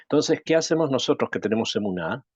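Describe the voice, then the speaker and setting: A man from Chile, a rabbi teaching a Torah class, reflective and thoughtful, asking rhetorical questions and pausing to think. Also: medium pitch, expressive, slightly rough, dry recording, lo-fi sound. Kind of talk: Torah lesson.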